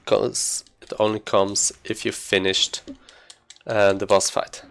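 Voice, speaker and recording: Low-voiced man commentating a speedrun. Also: little pitch movement, takes it slowly, vocal fry, a bit bright, smooth. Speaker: speedrunner commentating own gameplay. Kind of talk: casual live gameplay commentary.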